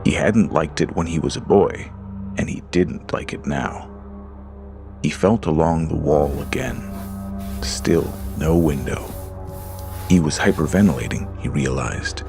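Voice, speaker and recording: Slow, deep, gravelly male narrator. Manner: slow, deliberate storytelling. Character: Lars, a frightened man alone in a dark house. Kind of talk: scripted horror narration. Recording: music under the voice.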